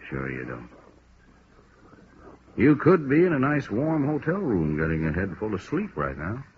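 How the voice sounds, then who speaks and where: An actor playing a blunt, gruff American police detective with a hard edge in a 1950s radio drama. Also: male voice, fairly low pitch, huge pitch swings, unhurried. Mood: gruff, grumbling. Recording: muffled, noisy vintage radio recording.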